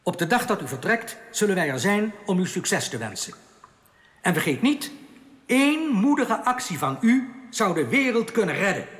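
An actor playing a solemn underground rebel in a radio drama, giving grave warnings in a measured, earnest, formal way. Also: male voice, sits high, big pitch swings, a bit bright. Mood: solemn urging. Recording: long echoing reverb.

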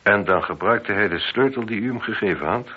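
Male actor as composed inspector, radio play, very low register, measured formal questioning, dull old broadcast sound. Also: a little hiss or hum, lightly acted. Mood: formal, probing. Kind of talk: Dutch radio play acting.

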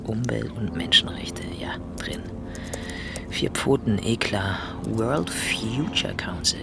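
An actress playing a gleeful activist thief, muttering colloquially to herself in an audio drama. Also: female voice, very deep voice, creaky, heavily acted. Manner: colloquial, clipped, half-whispered approval. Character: gleeful activist thief choosing where stolen money goes. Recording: loud music behind the voice.

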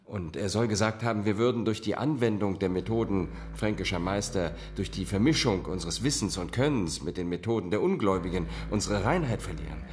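An actor in a radio drama playing an agitated painter with a dark secret, phrasing things weightily and deliberately. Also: male voice, on the low side, slight background noise, natural voice. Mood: grave, guarded.

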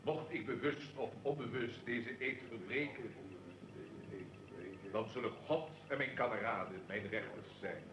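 An actor playing a commanding Serbian colonel and secret society leader in a historical radio drama, solemn and deliberate. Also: male voice, mid-range voice, background noise, natural voice.

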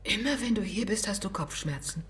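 A woman's voice: medium pitch, lively intonation, fairly quick, close to an everyday voice. A woman playing a fussy older mother. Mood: chattering. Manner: busy, talks past her daughter. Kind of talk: radio play acting.

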